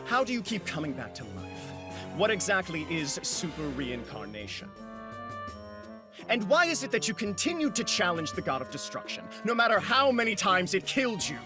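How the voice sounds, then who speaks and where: A man's voice: sits high, big pitch swings, moderate pace. A man playing a haughty, theatrical demon overlord in an anime game, pompous and mocking. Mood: condescending. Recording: loud music behind the voice.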